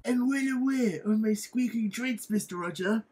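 A jittery little robot with a high, squeaky voice, as a man narrator puts him on. He speaks politely but nervously, in a husky tone. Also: moderate pace, darkish tone.

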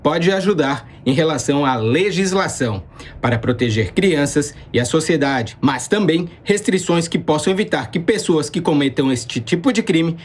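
A man, reporting the news in a measured, formal voice-over. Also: on the high side, lively intonation, husky. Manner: measured, formal newsreader delivery.

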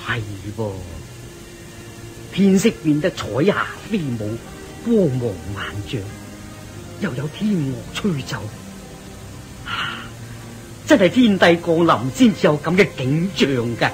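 A man's voice: on the high side, sing-song, slow pace, long pauses. A kindly older Buddhist master in a radio drama, devout and patient. His Hong Kong Cantonese speech rises and falls very animatedly, with music playing behind it. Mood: wondering, awed.

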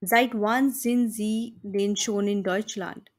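A woman's voice: mid-range voice, steady tone, slow pace, close to an everyday voice. A woman with an Indian accent voicing a friendly, businesslike female travel agency manager speaking formally on the phone in a lesson dialogue. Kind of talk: scripted dialogue read for learners.

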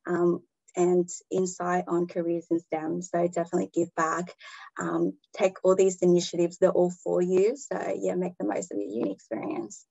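A young woman speaks casually in a very flat, gravelly voice with a dark, mellow tone. The call audio sounds a little dull. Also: fairly low pitch, normal speed. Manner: casual advice to students. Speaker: panelist. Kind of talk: panel discussion over video call.

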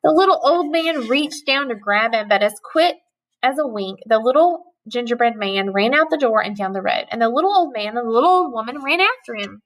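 A woman in her thirties reading a children's story aloud with expressive, rhythmic storytelling. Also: fairly high pitch, brisk, poor audio. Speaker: storybook reader. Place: small indoor room with cupboards.

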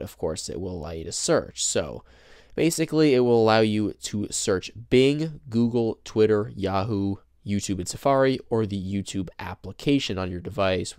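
A man's voice: on the low side, darkish tone, no room sound, studio quality. A young man casually walking through a phone demo step by step, chatty with small self-conscious laughs. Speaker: tech tutorial host. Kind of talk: casual screen-demo walkthrough. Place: indoors at a table, filming a handheld phone. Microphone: camera mic behind the phone.

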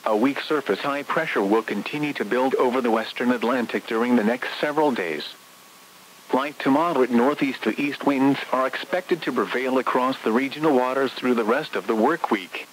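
A synthetic male announcer reading an automated marine forecast over the radio in a measured, impersonal way. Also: mid-range voice, rough recording. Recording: hissy weather radio reception.

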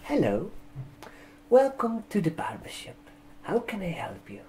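A young man in his twenties performs ASMR roleplay in a mellow, hushed voice. He goes very slowly and haltingly, with swooping intonation. Faint clicks and typing sit in the background. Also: high-pitched, wildly swooping pitch, slight background noise. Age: 20s. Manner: slow, halting, hushed delivery with short phrases. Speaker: ASMR performer playing a barber receptionist. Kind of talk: ASMR barbershop roleplay, soft-spoken. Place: indoor room, seated at a desk. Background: computer keyboard typing and clicks.

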